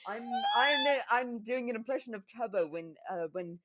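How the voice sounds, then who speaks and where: A man's voice: very high-pitched, lively intonation, normal speed, bright, forceful tone. Stammering, playful young man. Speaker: podcast guest. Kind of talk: casual chat.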